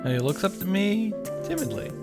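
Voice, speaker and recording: A man's voice: high-pitched, huge pitch swings, brisk. A man reading visual novel narration aloud and adding casual commentary, as host of a game reaction series. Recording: music behind the voice. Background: game music.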